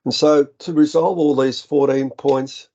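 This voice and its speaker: A middle-aged man with an Australian accent talks through a business webinar in an earnest, explanatory way, often pausing on ums and restarting words. His voice is mellow and dark, and the sound is muffled and lo-fi, as if from a computer mic on a video call. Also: medium pitch, steady tone. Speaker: business director presenting a webinar. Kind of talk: webinar presentation. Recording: dull, slightly lo-fi webinar audio.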